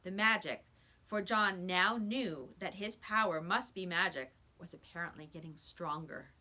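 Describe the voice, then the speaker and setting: A woman reading a children's story aloud in expressive narration. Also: lowish voice, a little slow. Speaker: storybook reader.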